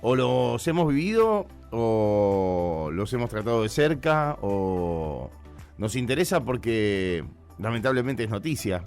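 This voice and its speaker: A man's voice: on the low side, very animated, slow, a little mellow, soft background music. A man with an Argentine accent hosting a radio show in a casual, conversational style. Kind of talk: casual radio chat with hesitations. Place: radio studio.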